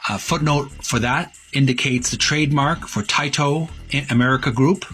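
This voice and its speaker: A man hosting a retro gaming video, talking casually in a halting, wordy way, rephrasing himself as he shows and reads printed material. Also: medium pitch, a bit bright, soft background music. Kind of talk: casual narrated show-and-tell, reading printed ad copy aloud.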